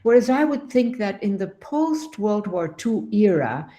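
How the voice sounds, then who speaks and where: A woman's voice: mid-range voice, very animated, unhurried, dark tone. An older woman born in the Netherlands and raised in Latin America, thinking aloud in an unscripted academic panel talk, with frequent restarts and "you know".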